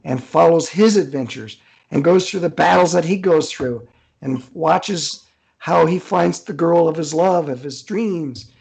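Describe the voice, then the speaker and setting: A man's voice: a little high, measured pace, gravelly, a little mellow, breathy edge, rough recording. A man speaking as an author guest in a casual interview.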